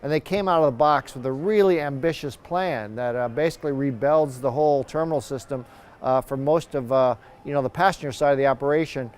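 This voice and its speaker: A man's voice: mid-range voice, brisk, a little mellow, clear, resonant tone. A man speaking as a professor and expert guest, giving conversational, explanatory commentary in a news interview.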